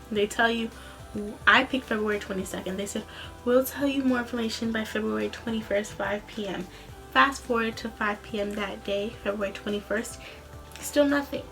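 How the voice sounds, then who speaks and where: A woman's voice: medium pitch, fairly bright tone. A young adult woman speaking as a vlogger in a casual, rambling, informal storytelling way. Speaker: vlogger, student singer.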